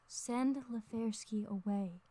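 A woman playing a sweetly coaxing, affectionate wife with theatrical, drawn-out delivery in an old-time radio drama. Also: medium pitch, dark tone, dry recording. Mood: coy, seductive. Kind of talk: 1930s-style radio drama acting.

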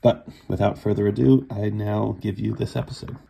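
A man's voice: lowish voice, fairly even intonation, normal speed, gravelly, dark tone, slight background noise. A man from Cincinnati hosting a solo podcast in a casual, chatty way, sounding groggy from a cold. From Cincinnati, USA. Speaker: podcast host.

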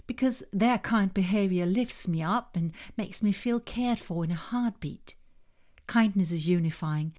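Calm Danish woman narrating self-help. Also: medium pitch. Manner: calm, measured reading with slow emphasis on key words. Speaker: podcast host and author.

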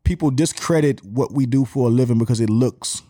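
A middle-aged man with an Atlanta Southern accent, a podcast guest telling stories in an animated, slangy way. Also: mid-range voice, brisk, no room sound, studio quality. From Atlanta, USA. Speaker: podcast guest, music marketer. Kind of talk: casual storytelling chat.

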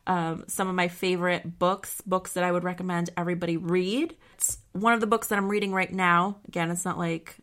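A woman's voice: fairly low pitch, flat delivery, brisk, fairly bright tone. A young woman hosting her own podcast, chatting casually and rambling with lots of fillers, her voice nasal and congested from sinus trouble. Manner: chatty and rambling, many fillers and self-corrections. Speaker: podcast host. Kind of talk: casual solo podcast chat.

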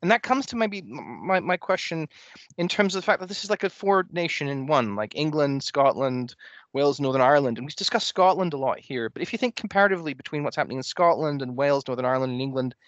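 A man with a Canadian accent asking a long question, talking quickly and restarting his sentences. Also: on the high side, dry recording. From grew up in Germany and Canada. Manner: fast, animated, restarts often. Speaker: interviewer. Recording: dull dry lo-fi sound.

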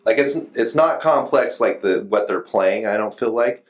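A man's voice: on the low side, expressive, moderate pace. A man reviewing music in casual podcast chat, blunt and slangy, with some swearing. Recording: roomy, echoing room sound.

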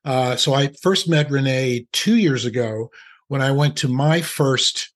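A man's voice: mid-range voice, unhurried. A man from New Jersey hosting a podcast interview, friendly and joking, asking long, rambling questions. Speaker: podcast host.

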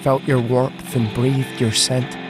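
An actor playing a shaken, brooding husband who lives through a Martian invasion, in audio theatre. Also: male voice, mid-range voice, level tone, slow pace, over music, close to an everyday voice. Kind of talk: dramatic audio theatre narration and dialogue.

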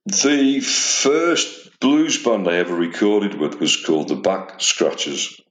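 A man in his forties with a deep, slightly gravelly voice, answering interview questions in a modest, reflective way. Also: very animated, slow, a bit bright, roomy sound, low-quality recording. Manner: modest, reflective, with many uh and restarts. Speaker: blues musician guest.

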